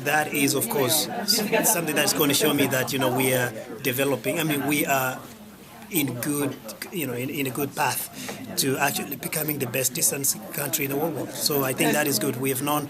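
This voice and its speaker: A man's voice: medium pitch, steady tone, fairly quick. A man in his late thirties, an athlete being interviewed, talking earnestly and rambling with many fillers. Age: 30s. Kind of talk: casual interview. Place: outdoor event before a race. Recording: loud crowd noise behind.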